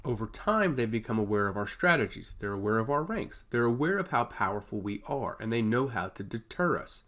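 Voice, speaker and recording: A man's voice: medium pitch, big pitch swings, a little fast, fairly bright tone. Man playing a solemn, dutiful servant commander. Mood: measured frustration. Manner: deferential, formal, solemn. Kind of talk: fantasy audiobook dialogue.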